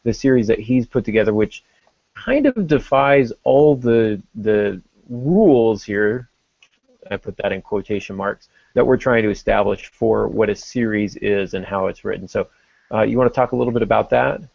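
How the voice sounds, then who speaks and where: A man's voice: on the low side, normal speed, gravelly, no echo, muffled recording. A man hosting a podcast, explaining casually and thinking aloud, with some joking along the way. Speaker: podcast host.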